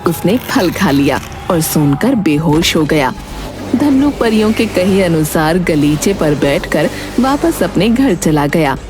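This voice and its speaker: A woman's voice: on the low side, sing-song, measured pace. A woman narrating a scripted fairy tale as a storyteller. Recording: music behind the voice. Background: background music.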